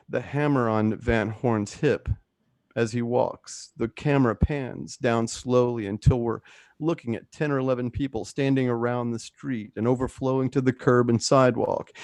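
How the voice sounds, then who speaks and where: Male narrator, mid-pitched voice, scripted radio drama directions, dry close sound. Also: creaky, darkish tone, no room sound. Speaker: narrator reading stage directions. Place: at home, close dry microphone.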